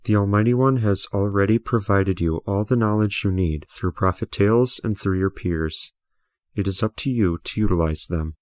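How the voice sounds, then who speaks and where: A man's voice: lowish voice, moderate pace, no room sound, studio quality, lightly acted. A man voicing a solemn, aloof guardian spirit and divine messenger in a fantasy audio drama, stately and formal.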